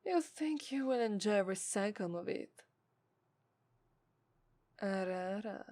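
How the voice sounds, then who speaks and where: Casting: woman to play a femme fatale demoness, contemptuous and seductive, Italian accent, formal ASMR delivery slipping into whispers, mid pitch, very dry sound. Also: expressive, darkish tone, no echo, close to an everyday voice. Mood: menacing, teasing. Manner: haughty, deliberate, formal, dropping into breathy whispers. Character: haughty, scornful, seductive female demon, summoned and contemptuous. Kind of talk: ASMR roleplay voice acting.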